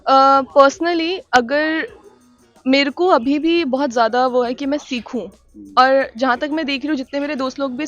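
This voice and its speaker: A woman's voice: high-pitched, dull audio. A young woman answering an interview in a chatty way, mixing in English phrases.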